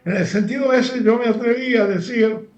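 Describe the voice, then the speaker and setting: A man's voice: extremely high pitch, a little mellow. Older man, echoey lo-fi line. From Argentina. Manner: halting, many fillers and restarts. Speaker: guest analyst, former professor. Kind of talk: lecture-like interview talk.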